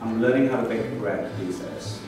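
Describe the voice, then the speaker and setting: A man introduces himself as a startup founder on a live panel, clear and factual. He is picked up from across the room, where the sound bounces around. A background noise runs steadily beneath him. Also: lowish voice, moderate pace. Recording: echoey room, distant mic, background noise.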